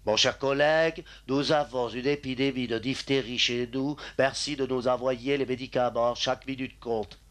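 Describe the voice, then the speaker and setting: A man's voice: medium pitch, steady tone, normal speed, few pauses, a bit bright, lightly acted. A man playing a kindly, conscientious doctor, earnestly giving instructions in a French cartoon dub. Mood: concerned.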